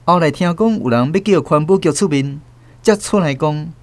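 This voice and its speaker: A man's voice: on the high side, normal speed, dry recording. Man narrating a radio drama. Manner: steady storytelling. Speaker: host and narrator. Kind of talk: scripted narration.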